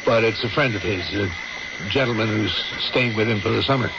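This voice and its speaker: A man's voice: lowish voice, a little fast, natural voice. An actor playing a worried middle-aged father in an old radio drama, terse and plain-spoken. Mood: worried, courteous. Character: curt, worried father of an ailing daughter. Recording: dull, heavily noisy old radio recording.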